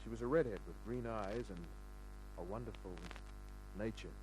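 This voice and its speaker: A man's voice: lowish voice, normal speed, noisy background, close to an everyday voice. An actor playing a tough private detective who wryly narrates his cases in a 1950s radio drama. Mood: wry narrating. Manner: wry, hard-boiled.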